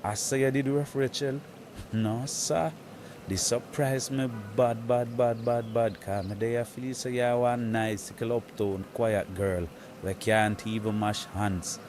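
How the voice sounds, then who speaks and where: An actor with a Jamaican accent playing a man caught between two women, talking in excitable patois in a comedy skit. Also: mid-range voice, noisy background, natural voice. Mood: amazed. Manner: excitable, rapid indignant patois.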